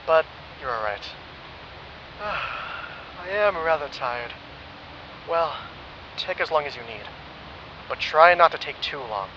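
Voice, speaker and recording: A man playing a tired, caring, slightly formal ally who speaks in a measured, concerned way, on dull, noisy audio. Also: a little high, animated.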